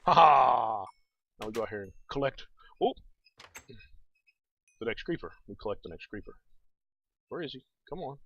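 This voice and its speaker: A man's voice: fairly low pitch, animated, fast, slightly rough. A man giving casual gameplay commentary as a let's play host, thinking aloud and talking to viewers. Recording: dull, noisy home recording.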